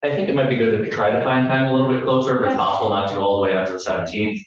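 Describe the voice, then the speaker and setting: A man's voice: on the low side, steady tone, rapid-fire, lo-fi sound. Male attorney, hesitant, heard from across an echoey courtroom. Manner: hesitant, filler-heavy. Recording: echoey and distant courtroom audio.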